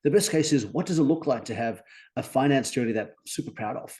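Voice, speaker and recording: An Australian man in his forties, a guest on a podcast, talking upbeat and articulate with animated emphasis. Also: mid-range voice, fast. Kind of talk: conversational interview.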